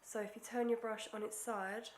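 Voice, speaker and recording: A woman's voice: fairly high pitch, level tone, normal speed, slight background noise. Chatty woman with a stuffy cold. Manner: chatty, self-deprecating, drops to near-whispers while concentrating. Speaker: beauty vlogger. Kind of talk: casual makeup tutorial chat. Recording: echoey room.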